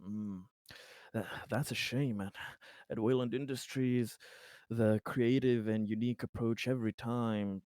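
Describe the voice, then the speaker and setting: A man playing a probing, polite corporate interviewer in a tabletop roleplaying game, speaking in a halting, deliberate way. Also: medium pitch, fairly even intonation, a little mellow, no room sound, lightly acted. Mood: musing, disappointed.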